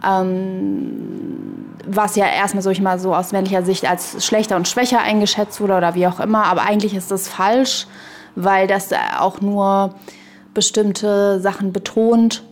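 A woman in a reflective podcast conversation, speaking in a halting, searching way and often adding little tag questions. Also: medium pitch, animated, slight background noise.

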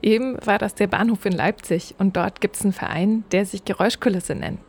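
A woman's voice: mid-range voice, brisk, a little mellow. A woman reading scripted narration for a radio feature as its reporter.

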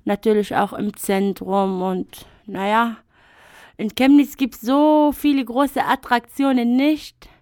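A woman's voice: fairly high pitch, steady tone, takes it slowly, a little mellow, no echo, clean studio sound. A woman whose first language is Arabic, speaking German in a radio interview and recounting her own life thoughtfully with some hesitations and fillers. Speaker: interview guest. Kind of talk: radio interview, personal account.

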